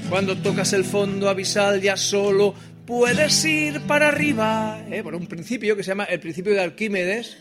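High-voiced Spanish man bantering over guitar. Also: lively intonation, normal speed. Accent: Spain Spanish. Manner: rambling, self-deprecating, asks the audience tag questions. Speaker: singer-songwriter and comedian performing live. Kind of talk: comic stage banter between songs. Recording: live performance with acoustic guitar. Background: acoustic guitar.

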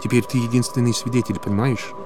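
Male reader as pragmatic boss, controlled and terse, medium-pitched, faint music underneath, audiobook dialogue. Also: steady tone, quick pace, natural voice. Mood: calm, guarded.

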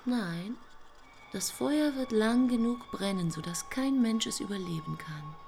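A woman's voice: a little high, expressive, measured pace, a little mellow, soft background music. A woman playing a small, teasing angel in a radio play, with an ironic, coolly taunting manner. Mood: cool, stern.

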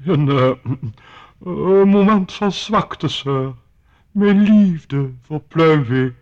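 An actor playing a stiff, formal, hesitant butler in a radio drama. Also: male voice, on the high side, drawn-out words. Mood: stiff, guarded. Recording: dull old radio play recording.

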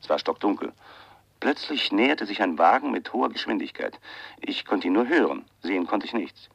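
An actor playing a secretive anonymous caller in hiding in a radio crime drama, measured, knowing and confiding, in with a gravelly voice. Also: male voice, lowish voice, very animated, no echo, heavily acted. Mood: tense recounting. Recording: dull, dry, band-limited telephone-style radio recording.

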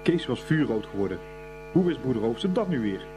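A man's voice: medium pitch, very animated, brisk, over music, lightly acted. A man narrating a scripted boys' school story for a radio play. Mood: storytelling.

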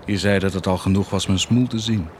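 Deep, swaggering, blasphemous warlord played by an actor. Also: male voice, steady tone, brisk, darkish tone, slight background noise, lightly acted. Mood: brooding, scornful. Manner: declamatory, defiant, swinging from muted menace to outbursts. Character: cynical, blasphemous bastard army commander, proud of his wickedness. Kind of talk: theatrical radio drama acting.

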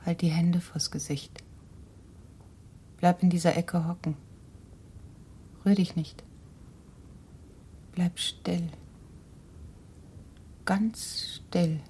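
A weary, sardonic woman in a radio drama. Her voice is dark and extremely slow, in hushed fragments, over a faint low rumble. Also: fairly even intonation. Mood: hushed, commanding. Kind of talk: German radio drama acting.

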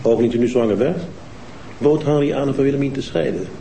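An actor playing a stern police detective who questions suspects insistently. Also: male voice, mid-range voice, fast, gravelly, warm tone, a little hiss or hum, lightly acted. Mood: pressing. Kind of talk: TV crime drama acting. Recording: roomy TV soundtrack with music.

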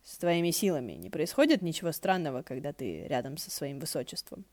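A woman's voice: low-pitched, big pitch swings, fairly quick, darkish tone. A woman voicing a prickly, awkward male ghost in an audiobook, snide and muttering. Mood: awkward, needling.

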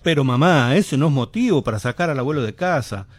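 A man's voice: medium pitch, expressive, quick pace, dry recording, lightly acted. A man playing a loyal university student son in a radio drama, with an Argentine accent. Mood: indignant, protesting.

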